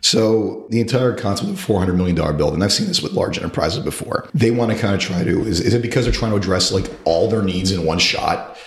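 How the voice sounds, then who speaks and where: A man hosting a podcast interview, his voice raspy and hoarse as he is losing it to a sore throat, talking in a quick, conversational way. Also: low-pitched, animated. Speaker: podcast host. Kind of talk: casual interview chat. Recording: echoey room.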